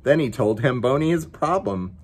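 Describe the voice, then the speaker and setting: A man's voice: medium pitch, expressive, measured pace, husky. A man reading a children's picture book aloud as story time host, with playful, chatty asides to his pet dog.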